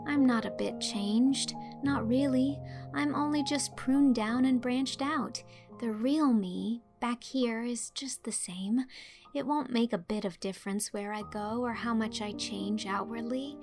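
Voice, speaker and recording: A woman voicing an affectionate, spirited teenage girl with heartfelt, expressive delivery in an audiobook drama. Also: on the high side, a little slow, over music. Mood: tender, earnest.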